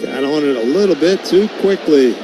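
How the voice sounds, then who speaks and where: A man doing live baseball play-by-play on radio, speaking in clipped phrases that can rise into excited calls. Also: a little high, normal speed, over music. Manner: clipped phrases, calls rising to excited shouts on big plays. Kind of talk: live sports play-by-play commentary. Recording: noisy live ballpark broadcast.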